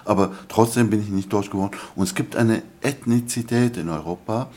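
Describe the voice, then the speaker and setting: An older man speaks, his voice sitting very low. He answers like a lecturing scholar, earnest and halting, often pausing on fillers as he builds his point. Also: dark tone. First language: Arabic. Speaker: guest scholar. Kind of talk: interview answers.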